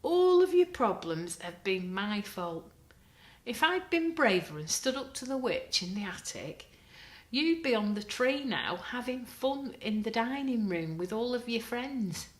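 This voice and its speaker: A woman's voice: fairly high pitch, very animated, a little slow, pitched above the performer's own voice. A woman doing an earnest little cardboard spider. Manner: earnest, pleading. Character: kind, anxious male cardboard spider toy. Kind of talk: storybook read-aloud. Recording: roomy echo.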